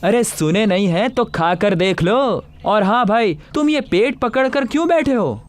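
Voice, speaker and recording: A man's voice: high voice, animated, a little slow. A man playing a friendly young village fritter seller in a story, cheerful and theatrical in his talk.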